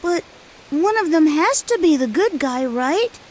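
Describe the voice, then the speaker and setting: A woman's voice: high-pitched, expressive, a little slow, darkish tone, slight background noise. A woman voicing an earnest, naive little boy in a video game, eager and questioning. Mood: earnest, hopeful.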